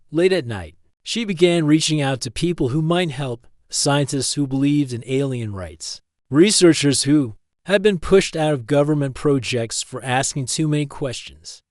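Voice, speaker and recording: A man reading a scripted story as an audiobook-style narrator, in a measured, dramatic storytelling manner. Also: a little high, darkish tone, no room sound, clean studio sound.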